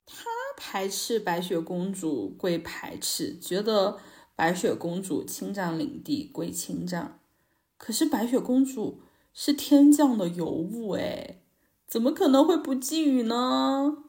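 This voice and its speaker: A woman's voice: mid-range voice, lively intonation, slow pace, mellow. A woman co-hosting a podcast, chatting casually and emphatically, often checking for agreement with tag questions. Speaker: podcast co-host. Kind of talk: casual chat, opinionated commentary.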